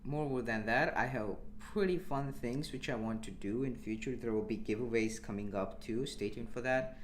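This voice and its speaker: A young man from India commentating his live game stream in a relaxed, chatty way, answering chat as he plays. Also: medium pitch, moderate pace, a little hiss or hum. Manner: relaxed, chatty, with frequent restarts and small laughs.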